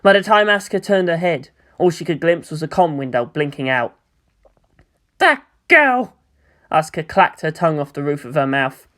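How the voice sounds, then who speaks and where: A woman's voice: sits low, fairly quick, few pauses. A woman narrating a scripted story as a fan fiction reader.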